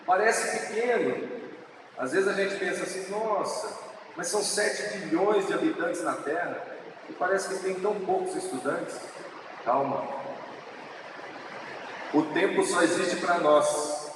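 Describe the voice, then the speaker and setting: A man with a São Paulo countryside accent gives a slow, earnest public speech, stretching out the words he wants to stress. His voice sits a bit high for a man. He is picked up from a distance in a big, reverberant assembly hall with clear noise behind him. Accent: São Paulo countryside Brazilian Portuguese. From interior of São Paulo state, Brazil. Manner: earnest, preaching, slow with drawn-out emphatic words. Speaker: speaker at a legislative assembly event. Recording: echoey hall, distant mic, noisy.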